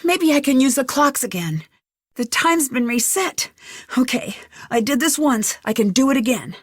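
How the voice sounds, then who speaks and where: An inquisitive young female detective talks to herself through a mystery game. Her voice sits fairly high and moves in very lively contours, earnest and level-headed. The recording is close and dry. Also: moderate pace, husky, dry recording. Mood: focused, pondering. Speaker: video game protagonist. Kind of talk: game voice lines, thinking aloud.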